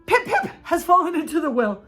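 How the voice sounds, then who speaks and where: A woman voicing a frantic, breathless little boy who shouts and pants as he pleads. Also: extremely high pitch, sing-song, brisk, soft background music, voice pushed up, exaggerated voice. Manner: shouting, panting.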